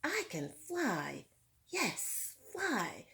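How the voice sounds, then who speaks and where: A woman's voice: on the high side, wildly swooping pitch, extremely slow, gravelly, bright, forceful tone, voice pushed up, exaggerated voice. A woman reading a picture book aloud in the voice of a proud, dreamy eight-year-old girl telling her own story.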